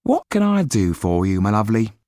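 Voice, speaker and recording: A man voicing a brisk, plain-spoken cafe proprietor's wife in a historical audiobook, emphatic and colloquial. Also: lowish voice, big pitch swings, dark tone, no room sound, clean studio sound, pitched above the performer's own voice. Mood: flirty.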